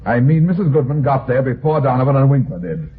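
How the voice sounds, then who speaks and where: An actor playing a tough, self-assured private detective in an old-time radio drama, with a slangy hard-boiled delivery. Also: male voice, medium pitch, lively intonation, fast, natural voice. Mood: accusing, taunting. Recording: noisy, narrow-band old radio recording.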